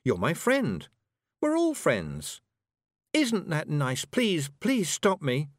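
Brief: young witch girl, voiced by a man, high and slow, sing-song sweetness with a pleading edge. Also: huge pitch swings, no room sound, heavily acted. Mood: eerie sweet.

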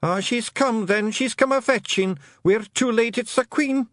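A man's voice: very high voice, measured pace, a bit bright, no room sound, studio quality, pitched above the performer's own voice. A man voicing a small, rowdy blue clan leader with a Scottish accent, exclamatory and anxiously deferential. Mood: wailing dread. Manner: exclamatory, pleading, dialect-heavy.

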